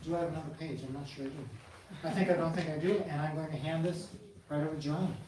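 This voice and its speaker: A forum moderator sets out ground rules politely and informally, often halting to find words. The voice is distant and very echoey, with clear noise around it. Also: mid-range voice, fairly even intonation, mellow. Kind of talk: informal moderating, giving audience instructions. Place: large echoey room at a public forum.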